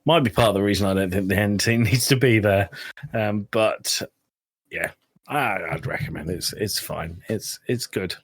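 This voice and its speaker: A man's voice: on the low side, normal speed, husky. A man co-hosting a podcast, chatting casually about films in an irreverent, self-deprecating way. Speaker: podcast co-host. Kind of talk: casual film chat.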